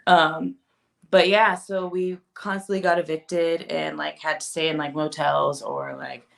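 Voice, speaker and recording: Low, gravelly young woman, chatty guest. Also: little pitch movement, a little slow. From Japan. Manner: laughs often, many "like" fillers. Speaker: guest singer-songwriter. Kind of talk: casual interview chat. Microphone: phone on a video call.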